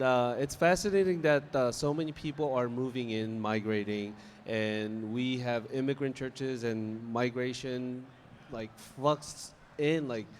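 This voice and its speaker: Korean-accented pastor, easygoing. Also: male voice, medium pitch, a little hiss or hum. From South Korea. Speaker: senior pastor.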